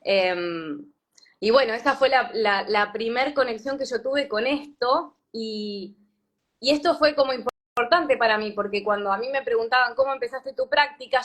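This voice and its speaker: A woman in her thirties with an Argentine Spanish accent, from Patagonia, telling her story as a guest in an interview, thoughtful and pausing often with fillers. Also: fairly high pitch, a bit bright. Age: 30s. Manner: thoughtful, many fillers and restarts. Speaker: yoga teacher, guest. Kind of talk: reflective interview talk.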